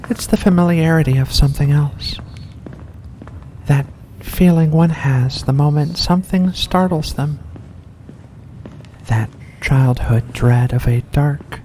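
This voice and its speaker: A performer voicing a mysterious, seductive vampire in a slow, deliberate ASMR roleplay, courteous and teasing. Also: medium pitch, a little mellow, close to an everyday voice, rough recording. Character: seductive mysterious vampire host luring in a guest. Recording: faint background noise.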